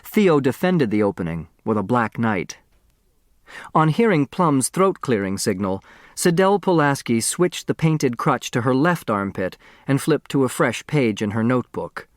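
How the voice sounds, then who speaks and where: Guy reading a kids mystery audiobook, measured storyteller style, mid pitch, really dry sound. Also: sing-song, dry recording. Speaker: audiobook narrator. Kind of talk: scripted narration of a children's mystery novel.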